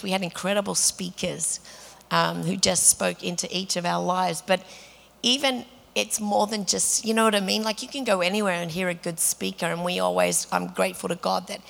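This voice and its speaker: A woman with a slightly raspy voice, leading a women's church gathering in prayer and casual sermon talk, full of fillers and restarts. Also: medium pitch, in a large hall. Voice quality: raspy today. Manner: warm, chatty, many fillers and restarts. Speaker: women's ministry leader.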